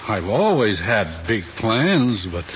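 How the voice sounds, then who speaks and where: A troubled middle-aged father in an old film drama. He speaks slowly and gravely, with halting regret, on a dull soundtrack with faint hiss. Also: fairly low pitch, big pitch swings, a bit bright, full character voice. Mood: regretful. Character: troubled, regretful father who pushed his son.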